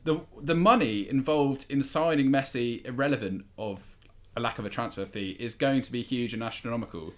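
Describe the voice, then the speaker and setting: A man talking football as a podcast panelist, giving his analysis in a conversational, slightly halting way. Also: mid-range voice. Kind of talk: football discussion, casual analysis.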